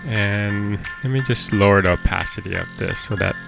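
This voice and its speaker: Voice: deep voice, normal speed, creaky, over music, poor audio. A storyteller and drawing teacher talking to children in an informal way, often thinking aloud.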